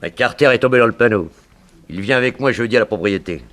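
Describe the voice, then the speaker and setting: An actor playing a vengeful, scheming gangster in a 1960s French radio crime drama, speaking in a deliberate, insinuating way. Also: male voice, lowish voice, a bit bright, lightly acted. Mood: scheming, gloating.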